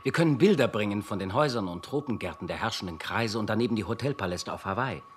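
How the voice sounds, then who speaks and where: An actor in a German radio drama playing an admiring, chummy colleague with a hearty, enthusiastic manner. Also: male voice, on the low side, expressive, fast, a little hiss or hum, close to an everyday voice. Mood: eager. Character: admiring, chummy male colleague and eager sidekick.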